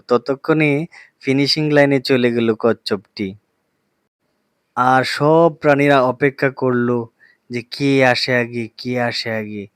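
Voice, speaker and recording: A man narrating a scripted children's fable as a storyteller, in a deliberate, drawn-out manner. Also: mid-range voice, no room sound, studio quality.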